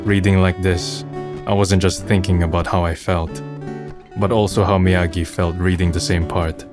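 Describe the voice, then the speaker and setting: A man plays a jaded young man in a manga drama, with a very low voice. He narrates and talks in a reflective, understated way with a dry, blunt edge. Music plays clearly behind him. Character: weary, cynical young man narrating his final days. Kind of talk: fan manga dub, first-person narration and dialogue.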